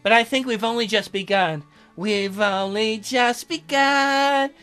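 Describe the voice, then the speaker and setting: A man's voice: high-pitched, takes it slowly, bright, forceful tone, clear, resonant tone, faint music behind, heavily acted. A man reading as a smitten merman. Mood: mock tender. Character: smitten merman lover, gentle and possessive.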